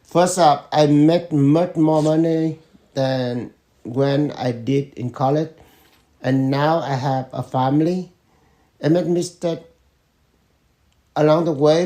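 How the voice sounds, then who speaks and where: Slow-spoken man in his fifties. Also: fairly high pitch, fairly even intonation, mellow. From Vietnam. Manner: slow, measured answers with pauses and fillers. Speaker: interviewee, father. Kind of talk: family interview.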